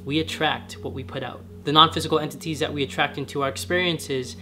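A young man with a slightly raspy voice, talking earnestly to camera in a casual vlog from his home garage. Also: a little high, fairly even intonation, fast, bright, forceful tone, faint music behind. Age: young adult. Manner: earnest, gesturing with his hands while sitting on the floor. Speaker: vlogger. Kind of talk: casual spiritual vlog talk. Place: home garage, medium-sized, indoors.

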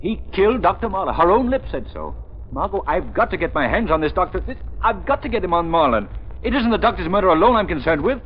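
An actor playing an earnest, determined crime-fighting gentleman in intense, dramatic 1930s radio style. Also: sits high, sing-song, quick pace. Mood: fervent. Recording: muffled, noisy 1930s radio recording.

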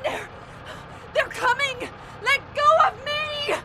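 A woman's voice: extremely high pitch, steady tone, slow pace, very noisy, exaggerated voice. An actress playing a terrified, frantic woman fleeing through the woods in a radio drama, pleading and crying in panic. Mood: hysterical panic. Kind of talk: audio drama acting. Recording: loud music over the voice.

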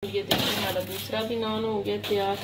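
A woman of medium pitch with a Pakistani Urdu accent talks viewers through a recipe in her home kitchen, calm and patient as she points out each step. Dishes and pans clatter clearly behind her voice. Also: moderate pace, heavy background noise. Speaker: home cooking channel host. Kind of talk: step-by-step cooking instructions.

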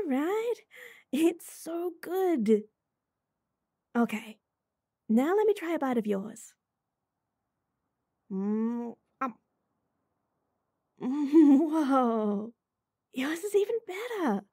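A woman voicing a sweet, bubbly young rental girlfriend in an intimate roleplay audio, giggly, chatty and gently reassuring. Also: sits high, slightly rough, no room sound, clean studio sound, heavily acted. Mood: delighted, teasing. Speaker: voice actor.

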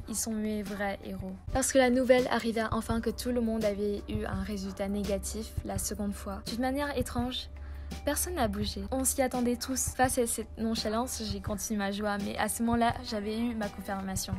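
A young woman with a slightly high voice reads her own earnest, reflective story as a voice-over. Her delivery is steady and scripted. A clear music bed plays under her. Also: a little fast. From Vietnam. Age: young adult. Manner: earnest, reflective, evenly paced reading. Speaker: vlogger. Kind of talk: scripted personal narration. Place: voice-over, not recorded in the pictured places.

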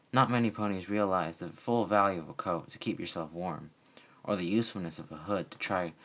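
Man, lowish pitch, story narration, audiobook-style reading aloud. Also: a bit bright. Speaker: fan fiction reader, narrator.

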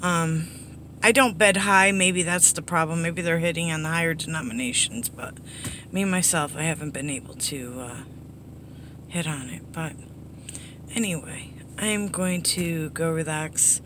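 A middle-aged woman with a hoarse, under-the-weather voice, giving a casual, rambling update to viewers in a low-key, apologetic way. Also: measured pace. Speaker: slots vlogger. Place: inside a parked car. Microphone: phone at arm's length. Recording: background noise inside a vehicle.

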